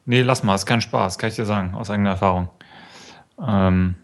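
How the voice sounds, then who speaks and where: Gravelly low guy, dry wry slangy talk. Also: steady tone, brisk. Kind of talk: casual discussion.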